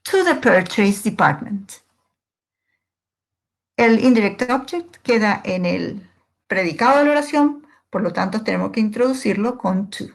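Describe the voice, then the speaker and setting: A woman's voice: medium pitch, lively intonation, a little slow, vocal fry. A woman teacher giving a step-by-step grammar lesson in a didactic way, posing questions and answering them herself. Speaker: English teacher.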